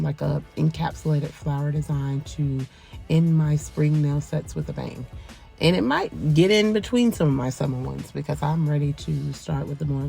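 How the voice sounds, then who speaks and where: A woman's low, mellow voice with a gravelly fry narrates a nail tutorial in a soft, polite tone she keeps for work calls. She talks in a relaxed, meandering way. Faint music plays beneath her voice. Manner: soft, quiet, polite phone-etiquette voice; relaxed, rambling with many ums. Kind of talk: soft-spoken voice-over tutorial. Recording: voice-over with faint background music.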